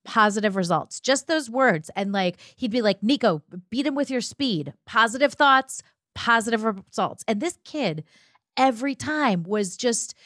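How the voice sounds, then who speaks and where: A woman's voice: fairly high pitch, animated, unhurried, fairly bright tone, no echo. Chatty woman on a dry podcast mic. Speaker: podcast co-host. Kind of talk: casual conversational podcast talk.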